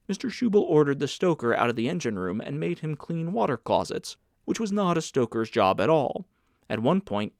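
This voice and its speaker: A man reading literary fiction aloud as a scripted, measured audiobook narration. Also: medium pitch, a little mellow, dry recording, studio quality. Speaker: audiobook reader.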